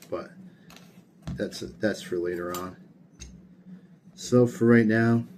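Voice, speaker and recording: A tired man casually talking through a hands-on workshop build, monotone and rambling with plenty of fillers. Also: low-pitched, a little hiss or hum. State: tired late at night. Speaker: hobbyist gunsmith. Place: home workshop.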